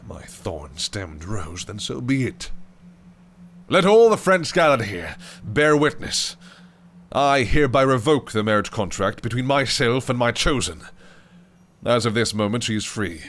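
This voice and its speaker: An actor playing a possessive, scheming male fae prince in an audio roleplay drama, who speaks in a theatrical, old-fashioned way and swings between shouted rage and hushed whispers. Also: mid-range voice, full character voice. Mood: menacing, then proclaiming.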